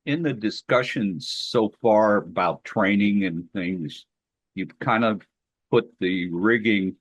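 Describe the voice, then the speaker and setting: A man with a low voice asks his question at a very slow, deliberate pace. The sound is dry and muffled, like a lo-fi connection. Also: dry recording. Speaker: webinar attendee.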